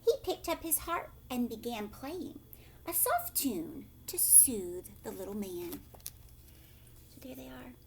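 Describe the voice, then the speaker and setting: Woman reading kids a story, super animated, asks questions, takes her time, bit of noise in background. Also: on the high side, slow. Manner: animated, dramatic, questioning, drops to hushed asides. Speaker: teacher reading aloud to young children. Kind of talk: expressive storybook read-aloud with asides.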